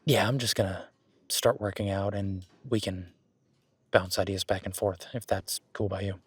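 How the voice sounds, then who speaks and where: A man's voice: low-pitched, fairly even intonation, moderate pace, husky, dry recording. A man playing a friendly, easygoing werewolf boyfriend who coaches his date at the gym in a casual, encouraging, rambling roleplay audio. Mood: warm, upbeat. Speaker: gym partner giving workout guidance. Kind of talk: roleplay audio drama, one-sided casual conversation.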